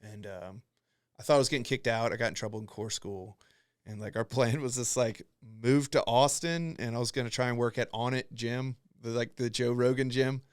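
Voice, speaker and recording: Dry, mid-pitched casual male podcast host. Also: fairly even intonation, moderate pace, clean tone, no echo. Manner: profane, rambling storyteller who acts out quotes.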